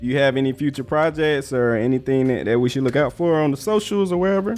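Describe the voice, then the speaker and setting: Laid-back man hosting, mid-pitched voice. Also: quick pace, a little mellow. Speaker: interviewer, host. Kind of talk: casual chat.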